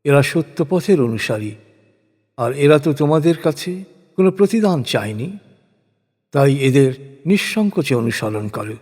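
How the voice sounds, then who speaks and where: A man gives a solemn, scripted recitation of translated scripture in a measured, declamatory way. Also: on the high side, expressive, darkish tone. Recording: long hall-like reverb.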